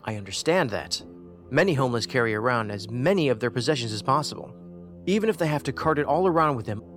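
An actor playing an analytical, aloof federal investigator in an audio drama, with a deliberate, cerebral manner. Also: male voice, mid-range voice, expressive, fairly bright tone, soft background music, natural voice. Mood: pensive.

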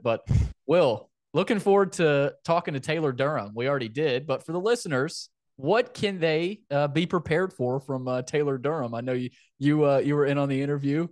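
A man's voice: mid-range voice, dry recording, clean studio sound. A man hosting a sports podcast in casual talk, often repeating phrases for emphasis.

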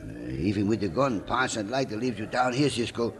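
A man playing an excitable, loyal Mexican sidekick in an old radio drama, speaking broken English and calling himself by name. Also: fairly low pitch, brisk, vocal fry. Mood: worried. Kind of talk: 1950s radio drama acting. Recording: old radio recording with crowd noise.

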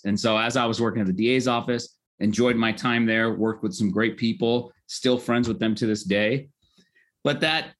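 A man's voice: lowish voice, fairly bright tone. An American man from Southern California, a lawyer and tech executive, talking casually as an interview guest. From Southern California, United States.

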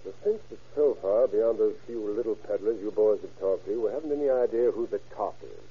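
Husky American police captain. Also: male voice, on the low side, brisk. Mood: confident, jovial. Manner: folksy, assured, colloquial. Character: confident, easygoing police captain with a daring streak. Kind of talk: old-time radio crime drama acting. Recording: narrow, tinny vintage radio broadcast.

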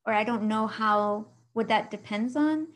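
A chatty woman from Mexico, chatting as an interview guest over a remote call. Her voice sits a little high, and she laughs often and lets her sentences trail off. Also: measured pace. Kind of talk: casual interview chat.

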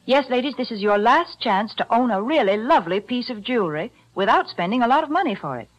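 A woman with a fairly high voice reads a 1940s radio commercial in a chirpy sales-pitch manner. Her pitch moves up and down lively, and the sound comes from an old broadcast recording. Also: quick pace, dull audio. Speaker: radio announcer.

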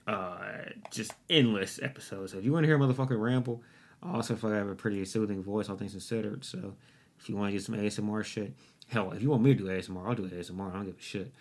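A young man with a somewhat low voice hosts his own podcast alone, speaking into a desktop microphone at home. He rambles freely, swears often and pokes fun at himself in a loose, casual way. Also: fairly even intonation, fast talker. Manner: rambling, profane, self-deprecating. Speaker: podcast host. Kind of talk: casual solo podcast monologue. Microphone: desktop USB microphone.

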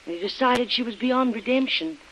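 A woman's voice: a little high, fairly quick, lightly acted. A woman playing an anxious young wife who earnestly recounts events in a vintage radio drama. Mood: uneasy recollection. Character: Helen, anxious young wife recalling her ordeal. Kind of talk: 1940s radio drama acting. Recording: muffled, noisy old radio recording.

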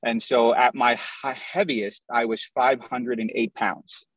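A man's voice: mid-range voice, fairly even intonation, a little slow, fairly bright tone, dry recording. Man in his thirties on a lo-fi call. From US Midwest. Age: 30s. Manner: candid, storytelling, lists things in runs. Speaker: guest. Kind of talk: casual interview over a video call. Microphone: video call. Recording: dull lo-fi video call audio.

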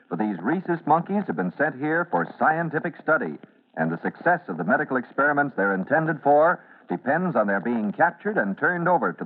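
A man narrating a classic radio serial in a theatrical, emphatic announcer style. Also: mid-range voice. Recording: narrow vintage radio recording.

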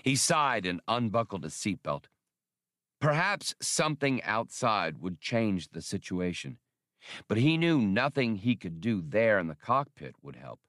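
A man reads scripted fiction in a low voice. His delivery moves widely in pitch from phrase to phrase. The sound is dry, with very little room in it. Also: very animated, unhurried, a bit bright, dry recording. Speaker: audiobook reader.

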